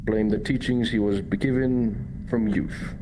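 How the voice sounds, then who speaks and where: A man's voice: fairly low pitch, fairly even intonation, a little slow, background noise, natural voice. A man playing a grave war preacher in a fantasy abbey roleplay, speaking in formal, sermonizing language over live online voice chat. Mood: solemn, preaching. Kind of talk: improvised fantasy roleplay, archaic formal speech.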